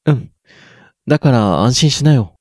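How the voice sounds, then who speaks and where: A man's voice: medium pitch, lively intonation, measured pace, no room sound, clean studio sound. A young man playing a teasing, supportive schoolboy in a radio drama. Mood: amused. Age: young adult. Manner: playful, gently needling. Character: teasing, supportive schoolboy best friend Ayato. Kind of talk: Japanese student radio drama acting.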